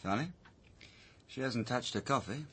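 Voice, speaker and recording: An actor playing a blunt, needling police sergeant in a radio drama, asking pointed questions. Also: male voice, medium pitch, a little slow, very noisy, close to an everyday voice. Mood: probing.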